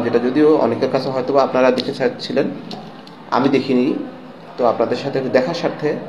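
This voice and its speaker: A man with a Bangladeshi accent talks in a polite, conversational way, explaining and asking questions as a doctor would. His pitch is middling, and the room is echoey with clear noise behind him. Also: a little mellow. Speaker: doctor interviewing a patient.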